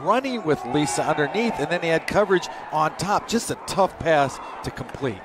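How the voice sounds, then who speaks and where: A man's voice: fairly high pitch, animated, normal speed. Chatty male color commentator over a crowd. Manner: conversational, repeats words while thinking, chuckles. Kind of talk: football color analysis. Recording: broadcast with crowd noise behind.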